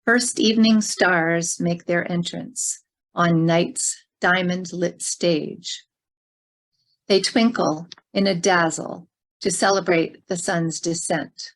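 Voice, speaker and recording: A woman reading a poem aloud with careful, measured phrasing. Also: lowish voice, steady tone. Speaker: poetry reader. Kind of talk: poetry recitation.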